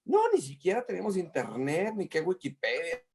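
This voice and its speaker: A middle-aged man, a guest in a casual interview, telling stories in an animated way with many fillers and restarts. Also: on the high side, moderate pace, gravelly, husky.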